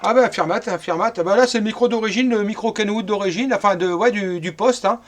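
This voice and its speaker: A man's voice: high voice, fast talker, a little hiss or hum. A middle-aged man from France chatting casually as an amateur radio operator.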